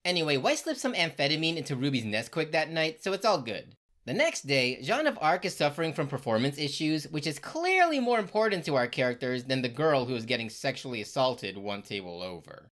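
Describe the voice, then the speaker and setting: Low-pitched, highly animated sarcastic woman narrator. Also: fairly quick. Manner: sarcastic, slangy, irreverent. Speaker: narrator of comedic series recap. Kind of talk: scripted comedic narration.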